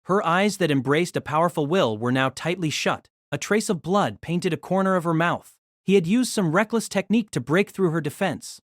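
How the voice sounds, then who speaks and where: Guy narrating an action story, lively swings in pitch, dry close sound. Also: moderate pace, dry recording. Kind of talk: dramatic scripted story narration.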